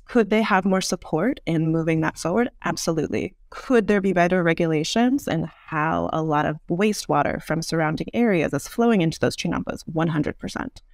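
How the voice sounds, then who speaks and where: A woman's voice: sits low, a little fast, hardly any pauses, no echo, studio quality. A woman giving thoughtful, articulate explanations as an interview guest, weighing her points with care. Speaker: podcast guest, urban design expert.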